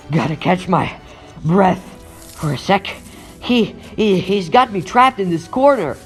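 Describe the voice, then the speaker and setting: Guy doing young teen superhero, highish animated voice, wisecracky but anxious, dramatic thinking out loud, comic dub. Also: measured pace, hardly any pauses, soft background music. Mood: strained, worried. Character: wisecracking teenage male superhero, earnest and anxious. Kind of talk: comic book dub voice acting.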